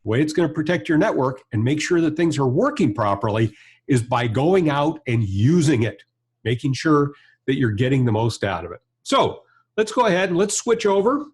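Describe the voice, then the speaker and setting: A man's voice: a little high, sing-song, moderate pace, darkish tone. An American man in his fifties giving a hands-on product tutorial as a trainer, in a plain-spoken, conversational, explanatory way. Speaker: trainer and presenter. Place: indoor studio or workshop with backdrop and bench.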